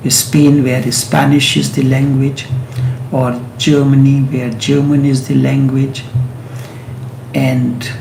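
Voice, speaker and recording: A man whose first language is Hindi, giving a slow, meditative spiritual talk with long pauses and drawn-out chanted sounds. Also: mid-range voice, vocal fry, mellow, reverberant, slight background noise, poor audio. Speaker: spiritual teacher.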